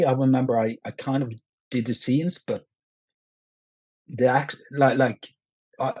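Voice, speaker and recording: A man with a Norwegian accent, speaking English as a second language, answering interview questions in a halting way full of restarts and fillers. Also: medium pitch, darkish tone, husky, no echo. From Norway. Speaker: actor, guest. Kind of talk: casual interview.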